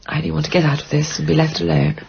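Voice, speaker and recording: A woman's voice: sits low, little pitch movement, very fast, vocal fry, a little mellow, husky, full character voice. An actress playing a proud, weary young woman in a radio drama, blunt and guarded in manner. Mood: distressed, weary. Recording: dull, muffled radio recording.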